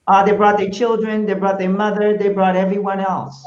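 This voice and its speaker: A woman's voice: mid-range voice, level tone, brisk, a little mellow, reverberant, muffled recording, slight background noise. A woman hosting a panel talk show, speaking emphatically and repeating points for stress.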